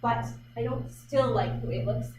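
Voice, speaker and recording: A woman's voice: on the high side, normal speed. Chatty woman painting in an echoey gallery. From Vancouver Island, Canada. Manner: chatty, self-questioning, hesitant with fillers. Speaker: artist leading a painting demonstration. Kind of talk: live painting demonstration, thinking aloud. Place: art gallery. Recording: echoey room from far off, loud background noise.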